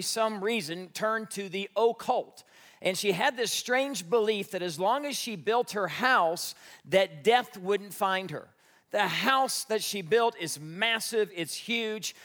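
A man's voice: sits high, a bit bright. An American man in his fifties preaching a conversational sermon, folksy and joking, repeating phrases for emphasis. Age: 50s. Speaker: pastor. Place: church before an audience.

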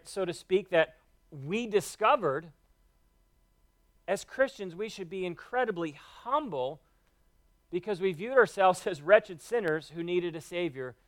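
A man's voice: sits high, fairly bright tone, dry recording. A man preaching a sermon, teaching earnestly and quoting scripture.

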